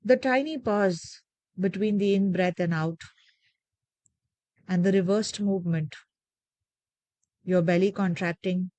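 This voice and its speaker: A woman leading a guided meditation with gentle, measured, soothing instructions and deliberate pauses. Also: mid-range voice, no echo.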